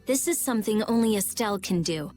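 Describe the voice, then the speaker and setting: A woman's voice: mid-range voice, animated, fast talker, a little mellow, faint music behind, close to an everyday voice. An actress playing a brusque, impatient teenage girl genius in a video game, blunt and snappy in delivery. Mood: intent. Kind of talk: animated video game acting.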